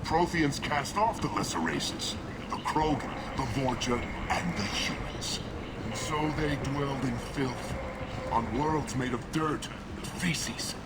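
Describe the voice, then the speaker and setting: An actor voicing a fanatical alien doomsday preacher in a video game, declaiming with zeal. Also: male voice, mid-range voice, lively intonation, takes it slowly. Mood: zealous contempt. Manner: declamatory, zealous. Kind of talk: fiery street preaching. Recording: distant, very noisy.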